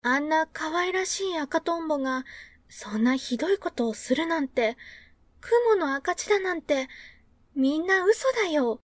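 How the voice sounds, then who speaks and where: A woman voicing a sweet, kind little girl in a storybook reading, with a high childlike voice. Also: animated, slow pace, long pauses, fairly bright tone, dry recording, exaggerated voice. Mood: indignant.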